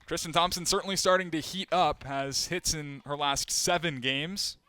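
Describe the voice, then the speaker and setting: A young man calling live games as a play-by-play announcer, steady between plays and climbing sharply in pitch and volume on big moments. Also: normal speed, clean tone. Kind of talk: live sports play-by-play commentary.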